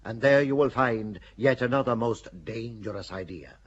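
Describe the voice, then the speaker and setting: A man playing a shrewd, measured churchman. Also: medium pitch, husky, muffled recording, close to an everyday voice. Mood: persuasive. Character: shrewd older churchman, a bishop, calculating. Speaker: bishop in a radio play.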